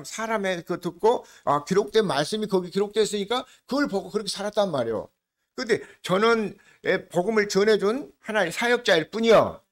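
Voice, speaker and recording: Older male pastor, regional dialect, raised pitch, chatty sermon full of questions to the pews. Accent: regional Korean dialect. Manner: conversational preaching, asks the congregation questions; slows down to lead scripture reading together.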